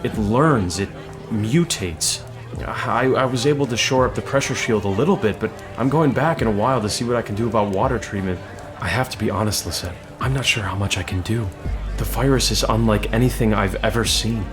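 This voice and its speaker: An actor playing a weary, modest computer hacker in a naturalistic, hesitant, understated way. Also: male voice, lowish voice, fairly quick, lightly acted. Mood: weary, grim. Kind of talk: naturalistic audio drama acting. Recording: music behind the voice.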